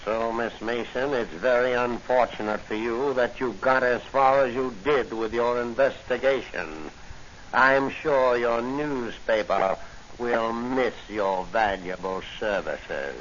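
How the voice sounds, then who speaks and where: An actor playing a smug, coldly polite crime boss in a 1940s radio drama, speaking formally with mock courtesy. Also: male voice, mid-range voice, slow, fairly bright tone. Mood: smug menace. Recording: dull, noisy 1940 radio recording.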